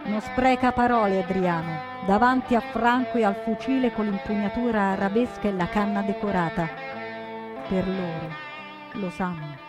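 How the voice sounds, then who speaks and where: A woman delivering solemn, emphatic scripted narration for radio theatre, with deliberate dramatic phrasing. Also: medium pitch, over loud music. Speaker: actress and narrator.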